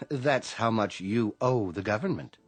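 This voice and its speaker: An actor playing a businesslike, officious tax collector in a radio drama, with a dry manner. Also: male voice, fairly low pitch, expressive, a little slow, soft background music. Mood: blandly officious. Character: brisk, officious tax man, blandly unhelpful.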